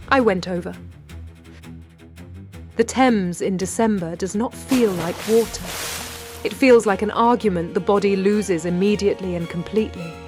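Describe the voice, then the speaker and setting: An actress playing a wry, brave 1930s London lady detective, narrating in a measured, literary British voice. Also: medium pitch, a little mellow, lightly acted. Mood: tense, wry. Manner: measured, literary, dryly understated. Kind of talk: scripted first-person audio drama narration.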